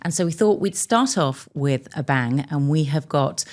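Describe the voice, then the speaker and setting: A woman's voice: sits low, lively intonation, normal speed, darkish tone. A woman hosting a podcast, chatty and a little hesitant, often restarting her sentences. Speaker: podcast host. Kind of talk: casual podcast interview.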